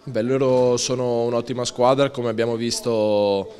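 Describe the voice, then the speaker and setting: A man's voice: mid-range voice, flat delivery, a little mellow. Young man in a reverberant corridor. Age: young adult. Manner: fast, restless, repeats words. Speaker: football defender. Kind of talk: post-match interview. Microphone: handheld interviewer mic. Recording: long hallway reverb.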